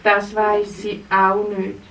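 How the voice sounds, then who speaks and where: A woman storyteller voicing a wise, kindly helper figure, lower and soothing. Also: completely flat delivery, slow pace, fairly bright tone, a little hiss or hum. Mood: advising. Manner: low, soothing and advising. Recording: roomy live stage sound from far off, faint music.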